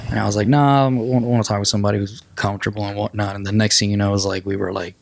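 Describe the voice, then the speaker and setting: A man's voice: lowish voice, level tone, very fast, gravelly. A man from Puerto Rico, in his thirties, a comedian guest on a casual podcast, swearing and laughing easily.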